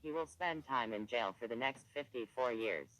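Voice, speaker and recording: A man's voice: medium pitch, animated, normal speed, pushed and bright, poor audio. A synthetic male voice reading a formal, scripted emergency broadcast announcement as an alert announcer.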